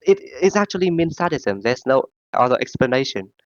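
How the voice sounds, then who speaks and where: A man with a sore throat in a casual group voice chat, rambling and halting, full of fillers. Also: mid-range voice, big pitch swings, dry recording, lo-fi sound. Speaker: discussion participant.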